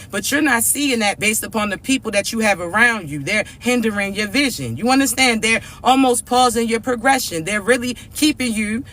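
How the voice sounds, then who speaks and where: Male host, raspy and a little hoarse, high and bright-toned, checks in with listeners every line. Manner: repeats "all right?" and "chosen ones", slow and emphatic. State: hoarse from allergies. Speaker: spiritual reader and channel host. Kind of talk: direct-to-camera motivational spiritual message.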